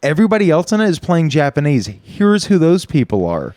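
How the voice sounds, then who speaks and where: Lively, profane man riffing on comedy. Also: fairly high pitch, dry recording, studio quality. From Des Moines, Iowa. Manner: animated, profane, quick to riff and do voices. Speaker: podcast co-host. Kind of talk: casual comedic chat.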